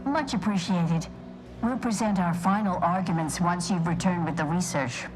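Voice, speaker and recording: A prim female scholar voiced by an actress in a video game, with a lowish pitch. She is formal, precise and pedantic, with clear music behind her. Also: normal speed, natural voice, poor audio. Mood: prim, measured. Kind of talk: video game dialogue acting.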